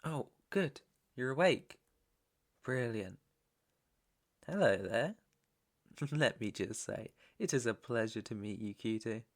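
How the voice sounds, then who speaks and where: A British man playing a menacing, teasing mob boss's son in an intimate ASMR roleplay, with a deliberate, taunting delivery. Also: medium pitch, expressive, gravelly. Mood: smug, teasing. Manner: slow, deliberate, taunting, swearing. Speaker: roleplay audio performer.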